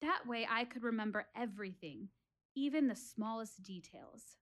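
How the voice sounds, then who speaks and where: An actress playing a reflective, wistful woman who quizzes her audience about life in a deliberate, expressive theatrical monologue, speaking as if confiding in them. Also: a little high, lightly acted. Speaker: actress in a one-woman show.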